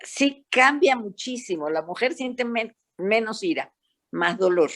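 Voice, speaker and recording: An older woman from Panama with a Panamanian accent, talking as a psychologist guest in a panel discussion and telling stories in an animated, emphatic way. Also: on the high side, unhurried. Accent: Panamanian Spanish.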